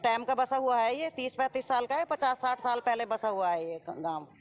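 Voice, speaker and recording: A woman's voice: fairly high pitch, a little fast. A woman with a North Indian accent, a village resident answering bluntly and repetitively in a spontaneous street interview. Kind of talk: spontaneous vox pop. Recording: busy crowd around the voice.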